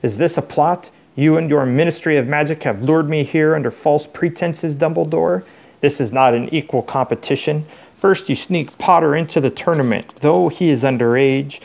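A man's voice: a little high, normal speed, vocal fry, darkish tone, lo-fi sound, pitched above the performer's own voice. A man playing a pompous, suspicious wizard headmaster in an audiobook, declaiming with blustering indignation. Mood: outraged.

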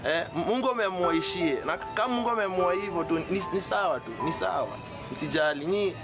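A male actor plays a pious, resigned Kenyan mourner soothing others in a radio drama. His voice is slightly high and hesitant, with music clearly behind it. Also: a little fast. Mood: resigned, placating.

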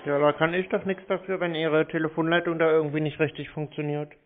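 A man talking on the phone in a deadpan, obtuse, needling manner, baiting a caller. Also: on the high side, fast talker, slight background noise. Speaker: prank call recipient baiting scammers. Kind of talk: phone conversation. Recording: narrow phone-call sound.

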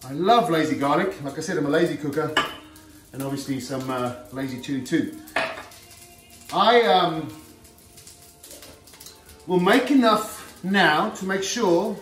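Middle-aged man, ex-fighter, plain-spoken home cooking vlog; slightly high voice, captured from afar in a reverberant kitchen. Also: lively intonation, measured pace, long pauses. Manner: chatty, plain-spoken, lots of fillers and "okay". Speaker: former professional heavyweight fighter giving cooking tips. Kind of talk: casual cooking demonstration. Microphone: far camera on the counter. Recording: roomy kitchen sound from a distance, faint music.

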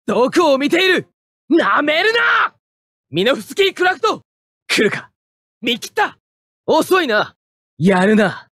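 A man playing a proud, hot-headed young mecha pilot, barking short forceful attack calls in an anime fighting game. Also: extremely high pitch, very animated, measured pace, long pauses, no echo, clean studio sound, exaggerated voice. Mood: aggressive.

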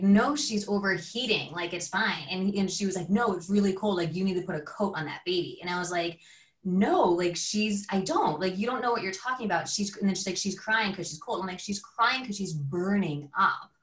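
A woman's voice: mid-range voice, normal speed. A woman guest on a podcast, a teacher, telling stories in a rambling way full of "like" and acted-out quotes. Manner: rambling, lots of "like", acts out quotes. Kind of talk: casual storytelling chat. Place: home room, video call. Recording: echoey, distant video call audio.

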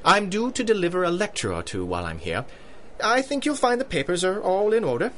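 An actor playing a pompous, jovial British colonel and big-game hunter in a radio drama, with hearty, old-fashioned upper-class talk. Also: male voice, sits high, sing-song, fairly quick, a bit bright, a little hiss or hum.

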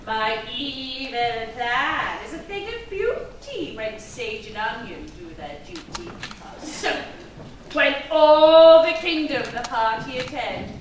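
A wicked pantomime fairy villainess who gloats in theatrical rhyme. Her high voice swoops widely and dramatically. It is heard from far off in a very echoey hall. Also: sing-song, slow, slight background noise, full character voice. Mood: gloating. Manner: gloating, rhyming, theatrical declamation. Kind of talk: stage pantomime acting. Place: theatre stage, recorded from afar.

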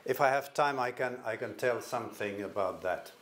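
An older man from Finland with a Finnish accent gives a conference presentation in a hall, speaking haltingly and repeating words as he restarts. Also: mid-range voice, a bit bright, a little hiss or hum. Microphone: stand microphone at a podium.

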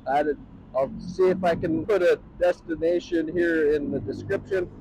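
A man's voice: fairly high pitch, fairly even intonation, normal speed, darkish tone, low-quality recording. A middle-aged man giving casual, enthusiastic, plain-spoken food commentary as the host of a vlog. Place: outdoors on a city street at night. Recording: clear street noise with passing vehicles.

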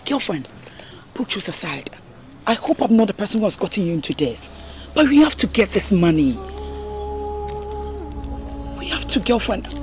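An actress with a Ghanaian accent playing a feisty, outspoken friend of a blackmail victim in a screen melodrama. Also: female voice, high-pitched, very animated, moderate pace, faint music behind. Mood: anxious, confused. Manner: outspoken, scolding, emphatic. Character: feisty, indignant woman defending her blackmailed friend.

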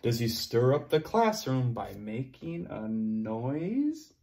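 A young man teaching young children, playful and animated, cheering them on and making sound effects while he reads a picture book aloud in a classroom. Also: medium pitch, slow, gravelly. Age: young adult. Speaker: preschool teacher. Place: classroom with word cards on a board.

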